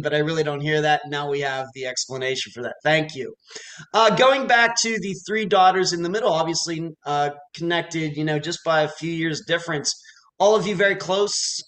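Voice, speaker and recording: A man's voice: on the high side, a bit bright, low-quality recording. A man from the Pittsburgh area with a slight Pittsburgh accent, hosting an interview in a chatty, rambling way. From Pittsburgh area, USA. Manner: chatty, rambling, many fillers and restarts. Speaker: podcast interviewer. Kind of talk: casual interview conversation.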